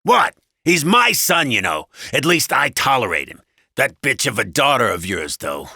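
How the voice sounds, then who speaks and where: A man voicing a gruff, domineering husband in an audiobook story, with a loud, belligerent, sneering manner. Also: medium pitch, sing-song, normal speed, dry recording, clean studio sound, voice pushed up, full character voice. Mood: angry, belligerent. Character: gruff, crude, domineering cigar-smoking husband.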